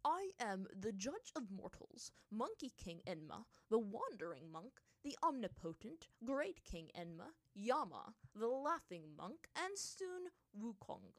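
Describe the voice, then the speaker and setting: An aged monkey monk spirit from a storybook, played by a woman, grumpy and aloof. He talks in curt, dismissive statements with a flat manner. The recording is dry and close, with no room around it. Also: fairly high pitch, measured pace, few pauses, no echo, full character voice. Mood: stately, calm.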